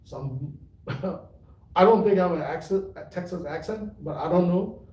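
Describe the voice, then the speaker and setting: A tai chi teacher with a mixed Malaysian and British accent, giving an unhurried, rambling livestream talk full of fillers. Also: male voice, high-pitched, darkish tone. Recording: echoey room, voice from far off.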